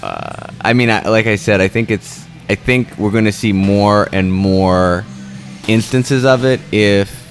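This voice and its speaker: A man's voice: lowish voice, unhurried, faint music behind. A man co-hosting a podcast, chatting casually and explaining his views at length. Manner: relaxed, explains at length, some restarts. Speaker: podcast co-host. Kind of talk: casual chat.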